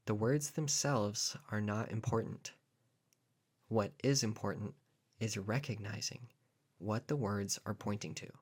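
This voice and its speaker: A man with a fairly low voice leads a guided meditation. He speaks as a teacher, setting out each phrase deliberately and leaving pauses between them. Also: slightly rough.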